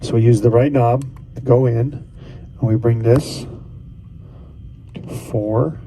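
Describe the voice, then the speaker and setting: A man's voice: mid-range voice, steady tone, slow, warm tone, a little hiss or hum, rough recording. A man casually talking through a device setup step by step as a tutorial host. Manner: casual, talks through each step as he goes.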